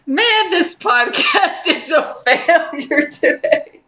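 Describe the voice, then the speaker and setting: A woman's voice: very high voice, expressive, takes it slowly, bright, forceful tone. A woman co-hosting a podcast in casual banter, with a mock-exasperated manner. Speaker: podcast co-host.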